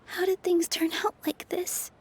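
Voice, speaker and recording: Very high-voiced gentle young dancer. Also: female voice, level tone, unhurried, a little hiss or hum. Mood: anxious. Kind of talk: video game voice acting.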